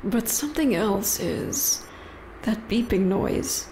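A woman's voice: mid-range voice, expressive, slow pace, mellow, slight background noise, rough recording. An actress playing a tough, curt veteran policewoman in an audio drama, terse and commanding. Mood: wary, terse.

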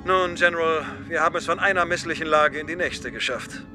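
Ein Mann spielt einen zackigen, trocken-humorigen Klonsoldaten in einem Zeichentrick-Hörspiel. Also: male voice, fairly high pitch, fairly quick, over music, lightly acted. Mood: resigned, dry. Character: brisk, dry-humoured male clone soldier. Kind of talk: German animated-series audio drama dubbing.

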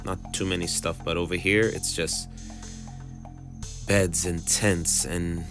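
A man's voice: sits low, flat delivery, slow pace. A man in his thirties giving a casual, musing vlog commentary, often repeating phrases and asking rhetorical questions. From moved to California in 2005. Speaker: vlogger. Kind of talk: casual observational vlog narration. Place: outdoors on city streets and in a car, phone vlog. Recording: background music under street narration.

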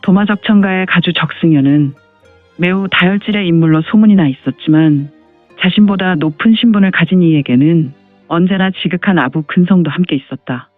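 A high-voiced man reads scripted martial-arts narration. The sound is thin like a phone line, with faint music behind him. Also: fairly quick, dry recording.

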